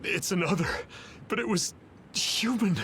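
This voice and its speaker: A man's voice: sits high, unhurried, a bit bright, slight background noise. A man playing a wounded, dutiful soldier in a video game, urgent and strained with pain. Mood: pained urgency. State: hurt, strained. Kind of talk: dramatic video game acting.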